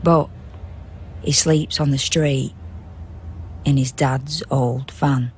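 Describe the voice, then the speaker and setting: Radio drama narrator played by an actor: solemn, all-knowing, unhurried poetic delivery, ambient noise underneath. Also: mid-range voice, steady tone, natural voice. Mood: measured, knowing. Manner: measured, rhythmic verse delivery. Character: solemn omniscient storyteller of a city at night.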